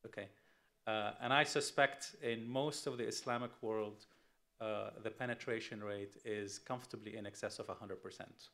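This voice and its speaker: A man's voice: lowish voice, steady tone, normal speed, a bit bright. Emphatic middle-aged man on a panel. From Tunisia. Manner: emphatic, rhetorical questions, many fillers. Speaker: panelist, investment firm CEO. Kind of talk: conference panel talk.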